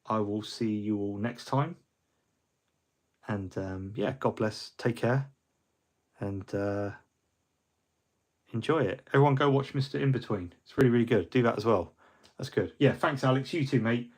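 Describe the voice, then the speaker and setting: A man's voice: mid-range voice, measured pace, pauses often, darkish tone. A middle-aged man, hosting a hobby livestream in a rambling, friendly way, full of ums and restarts, a little fluey. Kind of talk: casual livestream chat.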